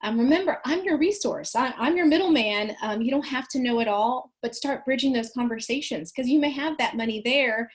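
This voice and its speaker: A woman, her voice a little raspy, leading an online training for school counselors in a chatty, folksy way. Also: high-pitched, fairly quick, few pauses. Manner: chatty, folksy, frequent ums and restarts.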